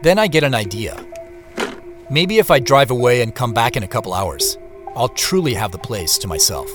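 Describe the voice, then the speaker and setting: A man's voice: medium pitch, lively intonation, moderate pace, over music, natural voice. An actor playing an uneasy man who confides his secret treasure hunt in first-person audio drama narration. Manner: confiding, present-tense storytelling. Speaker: narrator and main character.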